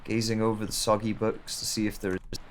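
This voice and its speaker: A man's voice: on the low side, completely flat delivery, fairly quick, slight background noise, natural voice. A man playing a bewildered lone wanderer narrating an audio drama in the first person. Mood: reflective. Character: bewildered lone male wanderer in an abandoned town.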